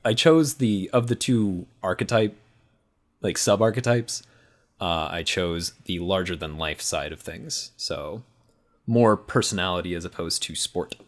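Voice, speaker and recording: Casting: male game player, deep voice, conversational, thinking out loud in stops and starts. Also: a little slow. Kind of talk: casual, halting explanation of a character.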